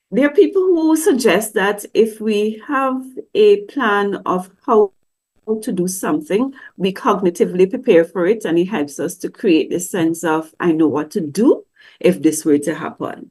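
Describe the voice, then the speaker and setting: A woman's voice: on the low side, a little mellow, slightly rough. Middle-aged woman, counselor teaching over a webcam; conversational, lots of questions. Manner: conversational, asks many questions, acts out quoted voices. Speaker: grief counselor teaching at a church service. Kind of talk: teaching talk with slides. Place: indoor room. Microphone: webcam on a video call.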